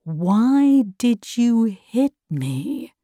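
Woman playing a slow, whispering, sinister man. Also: fairly high pitch, sing-song, dark tone, dry recording, clean studio sound, full character voice. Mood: menacing calm. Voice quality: breathy, whispering. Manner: slow, drawn-out, taunting. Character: sinister, patient, whispering man with red eyes, mind-controlling.

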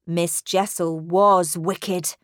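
A woman's voice: fairly low pitch, steady tone, very slow, no echo, clean studio sound. A woman voicing a troubled, kindly confidante in a storybook narration, doubting and protective, with an earnest, questioning manner. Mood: grave.

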